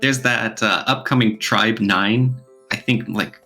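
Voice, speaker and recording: A man's voice: fairly low pitch, takes it slowly, bright, forceful tone, faint music behind. A man chatting casually as a podcast co-host, talking in an enthusiastic way.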